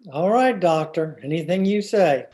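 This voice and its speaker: A man's voice: fairly high pitch, animated, normal speed, mellow, faint music behind, natural voice. A man playing a flustered, mild-mannered banker husband in an old-time radio comedy, hesitant and exasperated. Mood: deferential.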